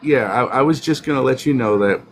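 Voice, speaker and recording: A man co-hosting a live stream, speaking in a measured, conciliatory way. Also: mid-range voice, a little hiss or hum.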